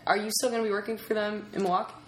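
A chatty woman hosting a casual podcast interview, quick to laugh as she talks. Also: medium pitch, flat delivery. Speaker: podcast host. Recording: roomy echo, faint background noise.

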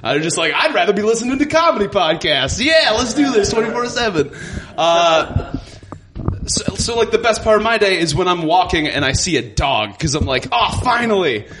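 Animated guy doing stand-up, highish voice, self-deprecating stories, noisy live room. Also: normal speed. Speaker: stand-up comedian. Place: live comedy show before an audience. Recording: noisy live stage recording with clicks.

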